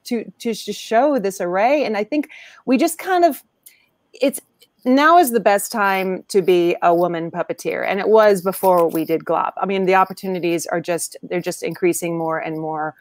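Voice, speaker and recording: A woman's voice: medium pitch, moderate pace, dry recording. A middle-aged woman, a puppeteer and actor, chatting animatedly on a video call from a room at home. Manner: animated, chatty with many restarts. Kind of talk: casual video call conversation.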